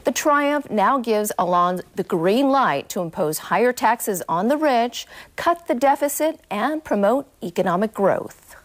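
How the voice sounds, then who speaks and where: A woman's voice: a little high, lively intonation, a little slow. A woman reading scripted news stories as a news anchor. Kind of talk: scripted newsreel narration.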